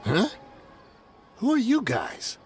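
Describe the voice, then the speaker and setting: A man's voice: high voice, huge pitch swings, slow pace, a little hiss or hum, full character voice. An actor playing a shifty, nervous desert mercenary in a video game, wheedling and evasive as he bargains. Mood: alarmed, hushed. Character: shifty, jittery male desert mercenary smuggler, eager to bargain. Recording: game audio under clear music.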